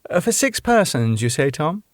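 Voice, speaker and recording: A man voicing a deadpan older British landlady. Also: a little high, moderate pace, no echo, studio quality, pitched above the performer's own voice. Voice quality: deadpan, matter-of-fact. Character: deadpan older woman, club owner's wife.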